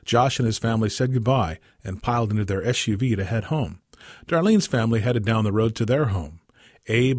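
Low, husky male story reader. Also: darkish tone, no room sound, studio quality. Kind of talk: scripted short story narration.

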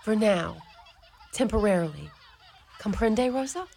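A woman's voice: medium pitch, expressive, a little slow, gravelly. A woman playing a kindly, wry and firm older Mother Superior in a community radio play. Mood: reassuring. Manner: gently commanding, dryly teasing. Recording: noisy radio studio recording.

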